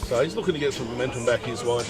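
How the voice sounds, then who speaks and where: A man calls a bowls match in an easygoing, chatty way at a middling pitch. He fills pauses with lots of ums and uhs. Music plays faintly behind him outdoors. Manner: easygoing, chatty, many fillers. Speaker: lawn bowls commentator. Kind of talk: casual sports commentary.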